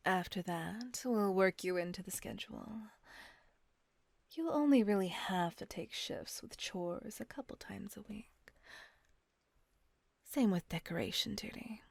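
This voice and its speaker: A woman voicing a kind, doting female vampire mistress in an audio roleplay, speaking in a soft, coaxing whisper close to the mic. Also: mid-range voice, measured pace, gravelly, long pauses, no echo.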